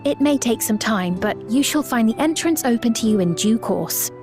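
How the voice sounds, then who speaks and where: Courtly queen for a game cutscene, played by a woman: higher register, measured, formal, gracious. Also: lively intonation, music in the background, natural voice. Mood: composed, regal. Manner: formal, measured, regal diction. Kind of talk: scripted video game cutscene acting.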